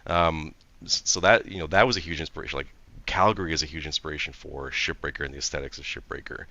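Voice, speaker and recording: Man, very deep pitch, bright forceful tone, animated, casual panel talk. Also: highs cut off. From Canada. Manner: eager, animated, quick to agree. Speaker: game director on a panel.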